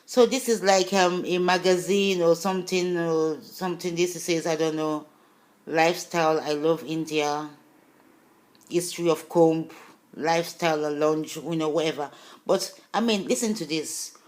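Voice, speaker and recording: A woman whose first language is not English talks straight to her viewers in a loose, rambling vlog style, pressing her points with insistent emphasis. Her voice sits fairly low, and the room around her rings with echo. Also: fairly even intonation, moderate pace, darkish tone. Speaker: vlogger. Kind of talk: casual video talk to viewers.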